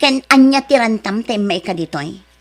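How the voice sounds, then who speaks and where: A woman's voice: fairly high pitch, expressive, moderate pace. A middle-aged woman with an Ilocano accent acting a proud, scornful rich mother in an audio drama. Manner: haughty, scornful, dismissive.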